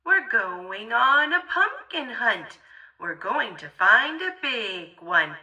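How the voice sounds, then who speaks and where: A woman's voice: on the high side, very animated, takes it slowly, bright, forceful tone, distant mic, lo-fi sound. A woman reading a children's story aloud theatrically, in a sing-song, rhythmic way with exaggerated expression.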